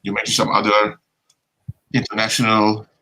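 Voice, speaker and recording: Bright-voiced man asking questions. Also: on the low side, completely flat delivery, moderate pace, rough recording. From Netherlands. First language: Dutch. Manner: inquisitive, searching for words. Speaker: guest co-host. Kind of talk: casual interview questions.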